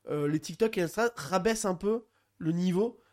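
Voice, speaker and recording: A man's voice: sits high, moderate pace, darkish tone. A young man hosting a casual podcast, chatty and steering the talk along. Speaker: podcast host. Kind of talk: casual chat.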